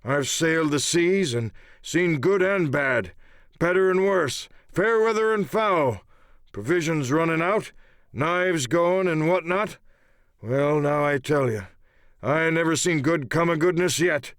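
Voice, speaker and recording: A man voicing a wounded, sly old pirate coxswain with rough rustic sailor's speech, in a dramatised audiobook. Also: a little high, measured pace, fairly bright tone, no room sound, clean studio sound, pitched above the performer's own voice. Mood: solemn, sly. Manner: sly, blunt, commanding.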